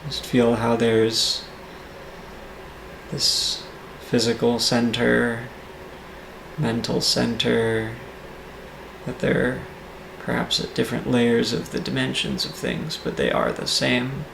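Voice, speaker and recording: Guy doing asmr guided meditation, really gravelly fry, slow and soft, echoey room. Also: medium pitch, slight background noise. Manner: soft-spoken, breathy near-whisper at times, calm and soothing.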